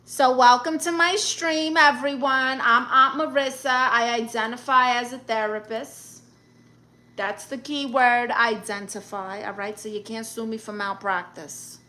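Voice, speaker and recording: A woman's voice: a little high, fairly even intonation, unhurried, pushed and bright. A woman with a New York accent hosting a live stream, reading viewer comments aloud in a brash, sassy, joking way. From Brooklyn. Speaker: livestream host.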